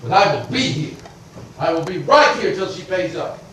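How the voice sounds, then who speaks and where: A man's voice: high-pitched, sing-song, normal speed. A man plays a middle-aged landowner in a permanent fury, ranting in a raised voice. The sound is far from the mic, with heavy room echo. A faint hiss sits under it, as on an old stage recording. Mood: defiant. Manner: ranting, blustering, swings from shouting to grumbling. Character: irascible blustering male landowner creditor, middle-aged. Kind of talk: theatrical stage acting.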